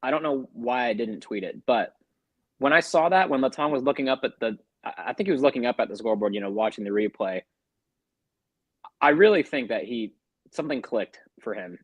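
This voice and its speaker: A man's voice: medium pitch, expressive, fairly quick, slightly rough, no echo. A man co-hosting a sports podcast, talking through a game in a casual, colloquial, conversational way.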